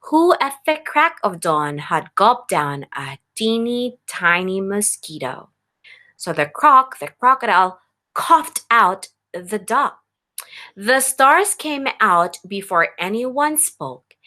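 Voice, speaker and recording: A woman's voice: mid-range voice, pushed and bright. A woman teacher reading a picture book aloud expressively to young children, addressing them directly and instructively.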